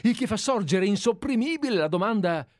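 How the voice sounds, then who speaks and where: A man's voice: sits high, lively intonation, a little fast, darkish tone, no room sound, studio quality. A man reading aloud as an obsessive, deferential admirer who has tracked a writer for years, in a dramatized radio play reading. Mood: reasoning. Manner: earnest, deferential, methodical. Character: obsessive, fawning male admirer-sleuth. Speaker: reader.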